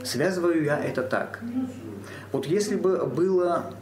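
A man, a theatre actor, speaking earnestly and deliberately in a panel discussion, pausing with hesitations. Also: a little high, a little mellow. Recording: echoey room, faint background hum.

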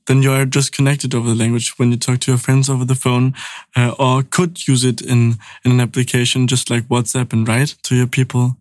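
A man's voice: medium pitch, a little mellow, dry recording, studio quality. A young man from northern Germany, hosting a podcast in a chatty way full of "um" and "like". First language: Danish. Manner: chatty, with many fillers like "um" and "like". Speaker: podcast host. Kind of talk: casual podcast conversation.

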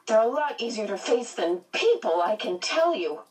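A prickly teenage schoolgirl, acted by a woman narrator, speaks in a raised pitch. She is blunt, guarded and ready to argue, as in an audiobook, a little back from the mic. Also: big pitch swings, normal speed, a little mellow, recorded from a distance. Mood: defiant. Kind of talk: audiobook character voice.

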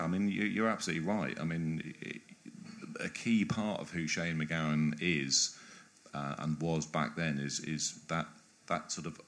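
Very deep-voiced man, halting interview guest. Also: a bit bright. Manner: halting, restarts phrases often. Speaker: guest biographer. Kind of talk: discursive interview answers.

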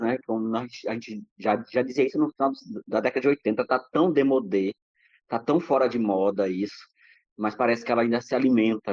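A man's voice: mid-range voice, darkish tone, no room sound, dull audio. A Brazilian man, a podcast guest, speaking reflectively with many restarts and fillers. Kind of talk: reflective casual talk.

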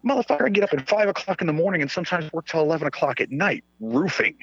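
A man's voice: a little high, very animated, racing, background noise. A man from Sweden, Swedish native, chatting bluntly and crudely with frequent laughs. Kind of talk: casual chat. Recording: dull lo-fi audio.

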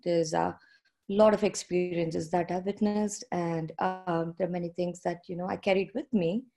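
A woman's voice: fairly low pitch, fairly even intonation, moderate pace, mellow. A woman, a filmmaker guest talking freely with frequent fillers and small laughs. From India. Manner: chatty, with frequent fillers and light laughter between remarks. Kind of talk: conversational interview.

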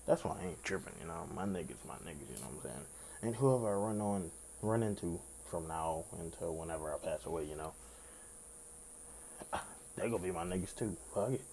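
Raspy young male podcast host, very low and gravelly, laid-back rambling delivery. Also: lively intonation, brisk, warm tone, slight background noise, low-quality recording. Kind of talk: casual solo podcast chat.

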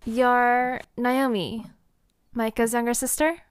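A slow-paced, higher-voiced charming young newcomer. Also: female voice, level tone, clear, resonant tone, no room sound. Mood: curious, polite. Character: pretty young newcomer Eden, charismatic, playful, polite. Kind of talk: naturalistic audio drama acting.

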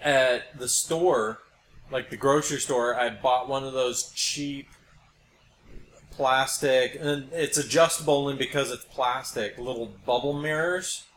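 A man's voice: mid-range voice, fairly even intonation, a little slow, a bit bright. A man co-hosting a podcast in casual conversation, telling anecdotes in a wry way. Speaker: podcast co-host. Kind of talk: casual chat. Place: small room, podcast setup. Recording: noisy podcast recording.

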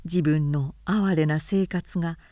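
A woman narrator reading classic literature aloud in a measured, careful audiobook delivery. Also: low voice, fairly even intonation, warm tone, no room sound, clean studio sound.

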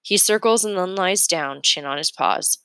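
Low-voiced woman reading a story aloud. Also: moderate pace, sharp tone, no room sound. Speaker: reader of a children's novel aloud. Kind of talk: expressive storybook read-aloud, first-person narration.

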